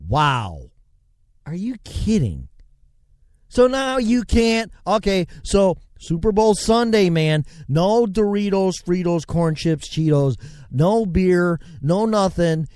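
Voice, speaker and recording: A man's voice: high-pitched, big pitch swings, slow, a little mellow, no echo. A man hosting a show, ranting sarcastically and rambling through news items, swinging between mutters and outbursts. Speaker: show host. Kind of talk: ranting commentary and news reading.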